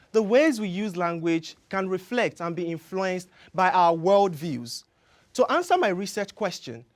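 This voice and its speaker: A young Nigerian man whose first language is Yoruba, giving an earnest, rehearsed research pitch on a studio stage. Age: young adult. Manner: earnest, measured, emphatic. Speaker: graduate student presenter. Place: large indoor studio stage.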